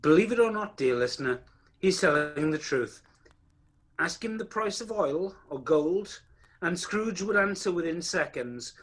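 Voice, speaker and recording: A man as a wry storyteller with a husky, slightly high voice. He narrates a radio play theatrically, confiding in the listener as he goes. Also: animated, a little slow, heavily acted. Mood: wry, astonished.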